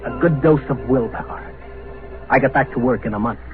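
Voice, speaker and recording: A man's voice: medium pitch, fairly quick, natural voice. An actor playing an earnest, world-weary interplanetary newsman in a vintage science fiction radio drama, with dramatic, clipped delivery. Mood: brisk, matter-of-fact. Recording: muffled narrowband vintage radio, music behind.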